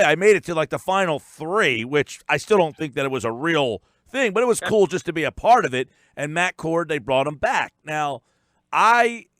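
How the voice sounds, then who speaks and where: A man's voice: fairly high pitch, fairly bright tone, no echo, studio quality. An American man hosting a sports talk radio show in a casual, conversational style.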